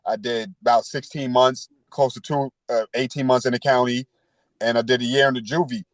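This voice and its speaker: A man's voice: mid-range voice, steady tone, brisk, dry recording. Man in his thirties, candid street talk. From New York. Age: 30s. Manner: street slang, frequent "you know" and "word". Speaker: podcast guest.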